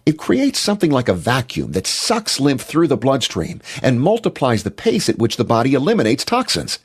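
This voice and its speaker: A man's voice: mid-range voice, wildly swooping pitch, normal speed, husky. A man giving a motivational health talk in an emphatic, declarative manner.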